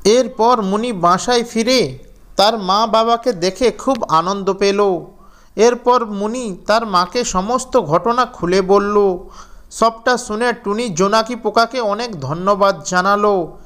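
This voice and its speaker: A man's voice: high-pitched, slow pace, fairly bright tone. A man narrating a scripted children's fairy tale as storyteller.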